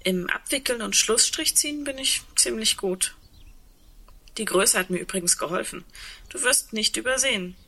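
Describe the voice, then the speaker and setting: An actress playing a wry, resigned, reflective woman in a radio drama, with a husky voice and dry humor. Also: on the high side, moderate pace, sharp tone. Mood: dryly amused. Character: tall, wry, resigned woman insolvency administrator facing doomsday. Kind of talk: radio drama dialogue acting.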